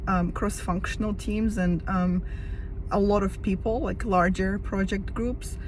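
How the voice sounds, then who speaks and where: A woman with a slightly rough, worn voice, chatting casually and enthusiastically as a project management coach and often adding "right?" to her points. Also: lowish voice, darkish tone, slight background noise. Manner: enthusiastic, chatty, frequent "right?" tag questions. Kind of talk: casual talk to camera.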